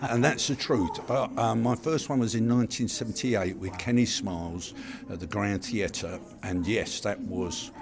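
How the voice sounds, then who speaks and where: An older man with a lowish voice talks in a relaxed and reflective way. He sounds at ease, like a seasoned performer thinking back over a long career. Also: normal speed, gravelly, hardly any pauses. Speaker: veteran panto performer.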